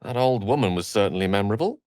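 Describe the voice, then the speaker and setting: Guy voicing a wry bookish british wizard for a fantasy game, lowish voice, swings around a lot, very articulate, dry studio sound. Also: expressive, quick pace, a little mellow, no room sound, lightly acted. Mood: earnest, wry. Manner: articulate, eloquent, theatrical. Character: erudite, wry, well-spoken male wizard with a melancholy streak. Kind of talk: theatrical fantasy video game voice acting.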